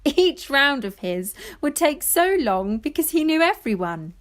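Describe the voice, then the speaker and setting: A woman playing a wistful granddaughter, giving lyrical, measured narration in an audio play. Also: high-pitched, very animated. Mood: fond recollection. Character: wistful granddaughter narrating family memories of fishing village life. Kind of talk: poetic scripted narration.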